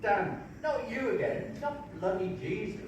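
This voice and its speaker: A man's voice: sits high, unhurried. An older man reading a grumpy, cynical, depressed psychiatric patient in a stage play, sour and sarcastic, in a very echoey, distant recording with faint noise. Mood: scornful. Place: large echoey room, mic at a distance.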